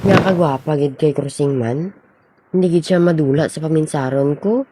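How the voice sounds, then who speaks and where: A woman's voice: deep voice, normal speed, heavy background noise, close to an everyday voice. A young woman playing an earnest, lovestruck schoolboy in an amateur radio drama. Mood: smitten. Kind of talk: amateur radio drama acting in Filipino regional language.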